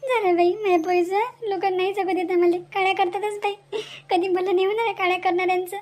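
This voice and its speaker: A woman's voice: very high-pitched, steady tone, fairly quick, a little mellow. A woman voicing an anxious, aggrieved village mother in an amateur cartoon melodrama, pleading plaintively in a Varhadi Marathi accent.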